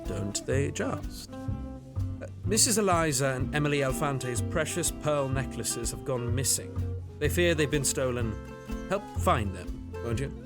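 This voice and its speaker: An actor playing a calm, dry-witted detective in an audio drama. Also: male voice, mid-range voice, measured pace, over music, lightly acted. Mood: wry. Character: calm, wry detective, adult man.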